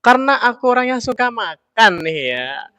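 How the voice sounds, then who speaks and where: A man's voice: very high voice, sing-song, moderate pace, sharp tone, no echo, clean studio sound. A young man from Solo hosting a casual interview, upbeat and chatty, with a habit of saying "oke, siap". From Solo, Central Java. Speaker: interviewer host.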